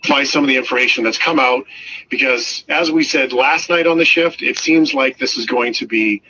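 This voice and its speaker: A man's voice: mid-range voice, moderate pace. A man hosting a news talk radio chat. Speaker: radio host. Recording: dull lo-fi radio playback.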